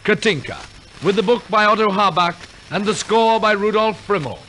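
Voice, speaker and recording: A man's voice: high voice, lively intonation, normal speed. A man narrating a 1940s radio operetta in a theatrical, wry, tongue-in-cheek storytelling manner. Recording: noisy 1940s radio broadcast recording.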